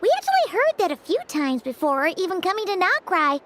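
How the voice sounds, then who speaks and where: A woman's voice: very high voice, sing-song, a little fast, fairly bright tone, a little hiss or hum, full character voice. A woman voicing a tiny, perky, inquisitive fairy companion in a video game. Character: tiny chirpy childlike floating fairy companion, curious and eager. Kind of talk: scripted video game dialogue.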